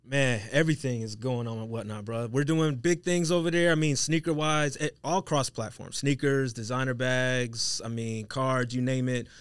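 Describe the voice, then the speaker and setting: A man's voice: medium pitch, fairly even intonation, moderate pace, few pauses, clear, resonant tone, no echo, studio quality. An American man chatting casually on a podcast in a slangy, upbeat and emphatic way. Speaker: podcast guest who works with an online selling platform.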